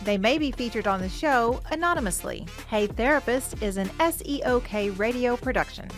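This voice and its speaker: A woman reading a scripted outro in a polished, even way. Her voice sits a little high. Music plays clearly underneath her. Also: moderate pace. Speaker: show announcer.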